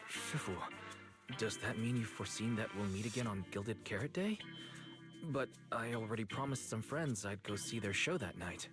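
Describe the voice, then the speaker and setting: Give a calm, sensible young man voiced by an actor in an anime video game. His voice sits fairly low and he talks reasonably, like a level-headed agent for hire. Music plays clearly underneath. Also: normal speed, close to an everyday voice. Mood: polite.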